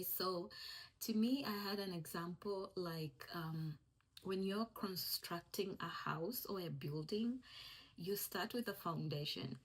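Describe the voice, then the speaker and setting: A woman's voice: fairly low pitch, slightly rough, poor audio. A young woman from East Africa, a Swahili speaker, giving an encouraging faith-based vlog talk in a conversational way that repeats its key phrases. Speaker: vlogger.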